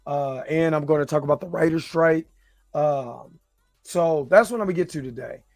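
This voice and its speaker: A man with a slightly hoarse voice hosting a livestream, talking casually in an informal, rambling, hesitant way. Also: on the high side, moderate pace, a little mellow, dry recording, studio quality. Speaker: host of a livestream reaction show.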